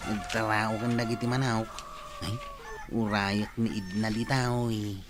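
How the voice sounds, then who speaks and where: A man's voice: on the low side, level tone, measured pace, over music, close to an everyday voice. An actor playing a sly, lovesick village man with a Filipino Ilocano accent, pushy and pleading, drawling and hesitating in an audio drama.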